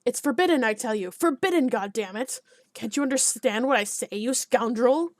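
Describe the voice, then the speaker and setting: A woman voicing a small, podgy, hot-tempered village alderman who blusters and shouts. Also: fairly high pitch, moderate pace, no echo, close to an everyday voice. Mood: yelling.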